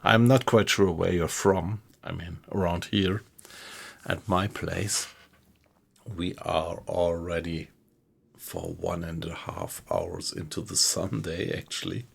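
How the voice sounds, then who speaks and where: A man with a German accent, chatting with viewers while he plays a submarine game, in a slow, hesitant way. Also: sits low, animated, vocal fry, husky. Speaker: game streamer. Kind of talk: casual live-stream commentary. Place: home room at night.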